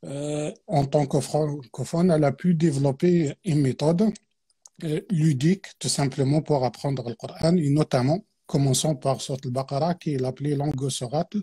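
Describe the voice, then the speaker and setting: Man, soft and husky. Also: on the high side, moderate pace. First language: Arabic. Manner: hesitant, many fillers and restarts. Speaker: guest teacher. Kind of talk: explanatory religious talk on a live stream.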